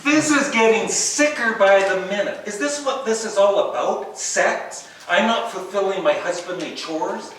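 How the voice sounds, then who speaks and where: A man's voice: high voice, measured pace, hardly any pauses, fairly bright tone. Man acting an exasperated husband in echoey hall. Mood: indignant. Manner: theatrical, swinging from muttered disbelief to indignant outbursts. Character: exasperated, bewildered husband jealous of his wife's fantasy lover. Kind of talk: stage play rehearsal acting. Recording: distant, echoey room with background noise.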